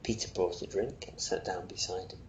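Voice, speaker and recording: A man narrates a short story in a low, gravelly voice. His reading is expressive, and it is recorded in an echoey room with a little noise behind it. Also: a little fast. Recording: roomy echo, faint noise, rumble.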